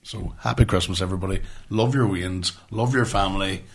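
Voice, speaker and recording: A man's voice: low-pitched, brisk, creaky. A middle-aged man with a Northern Irish accent co-hosting a podcast in casual, blunt, sweary chat. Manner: sweary, blunt, ranting about everyday life. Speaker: podcast co-host.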